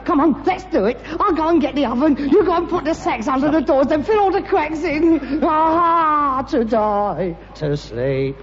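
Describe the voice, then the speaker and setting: A man's voice: extremely high pitch, lively intonation, measured pace, hardly any pauses, faint music behind. An actor playing a bitter, pretentious rag-and-bone man in a British sitcom, with a London accent and a sarcastic, mock-grand delivery. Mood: grandiose despair. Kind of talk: British sitcom acting before an audience. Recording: muffled, noisy, reverberant old sitcom soundtrack.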